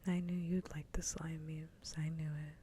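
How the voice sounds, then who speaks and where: Female ASMR host, laid-back breathy whisper, dark relaxed tone, even delivery, casual chat. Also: deep voice, unhurried, a little hiss or hum. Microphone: close ASMR microphone.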